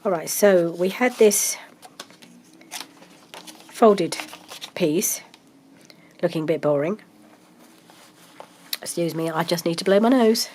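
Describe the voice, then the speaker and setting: A woman's voice: lowish voice, slow, long pauses, a little mellow, a little hiss or hum. A woman, chatting casually about her crafting project, congested from a cold and rambling with many ums. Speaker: craft vlogger.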